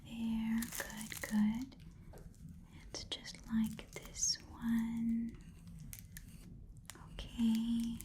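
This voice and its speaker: A woman's voice: on the high side, slight background noise. Whispering, muttering goblin shopkeeper, played by a woman. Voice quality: soft, breathy whisper. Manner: muttering, repeats words to herself, fussy. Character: chatty, haggling goblin pawn shop keeper. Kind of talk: whispered ASMR shopkeeper roleplay.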